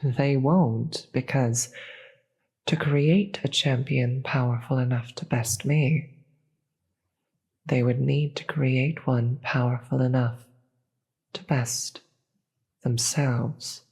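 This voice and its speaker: A performer voicing a weary, regal demon queen with a husky voice and formal, theatrical manner in a scripted audio roleplay. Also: medium pitch, fairly even intonation, darkish tone, heavily acted. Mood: condescending, amused. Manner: theatrical, formal, slow deliberate delivery.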